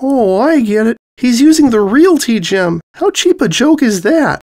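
A man's voice: very high-pitched, very animated, unhurried, a little mellow, pitched above the performer's own voice, full character voice. A man voicing an exasperated, sarcastic young male dragon in a comic story. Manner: groaning, exasperated.

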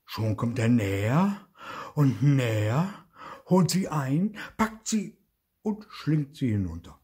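An older man reading a fairy tale aloud in an unhurried, expressive way. His husky, breathy voice rises and falls vividly and sinks to a whisper at tense moments. He sits close to a phone or webcam in a book-lined study. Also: medium pitch. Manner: expressive, slow, whispers suspense. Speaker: storyteller. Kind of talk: children's story reading. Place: indoor study with bookshelves.

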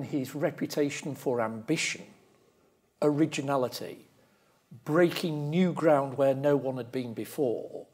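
Husky male interviewer. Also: medium pitch, warm tone. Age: middle-aged. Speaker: interviewer, host. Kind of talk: on-stage interview. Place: lecture theatre stage.

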